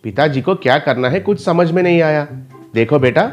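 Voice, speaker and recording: A man's voice: a little high, fairly quick, highs cut off. Man narrating a children's story over music. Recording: light music bed under voice.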